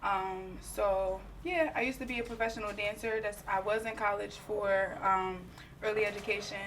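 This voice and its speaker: A woman in her twenties, a dance teacher, talking in a relaxed, chatty way on a discussion panel. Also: medium pitch, moderate pace, far from the mic, slight background noise, poor audio. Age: 20s.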